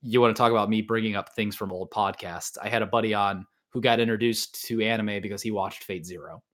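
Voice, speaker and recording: A man's voice: on the low side, fairly even intonation, fairly quick, a bit bright. A man hosting a podcast, chatting casually and informally about his hobby, often hedging what he says. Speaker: podcast host. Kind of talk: casual chat about visual novels and anime.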